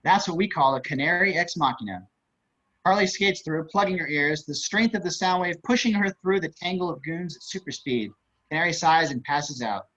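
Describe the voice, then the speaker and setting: Male stage-directions reader, slightly high voice, scripted narration, lo-fi online call sound. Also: normal speed, pushed and bright. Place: indoors, on a video call. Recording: dull video-call audio.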